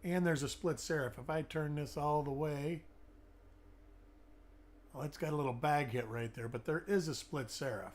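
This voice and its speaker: A man's voice: on the high side, normal speed, long pauses. A middle-aged man hosting a live coin-hunting stream with a weak, fading voice after an illness. He chats along, greeting viewers by name while looking over coins. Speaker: host.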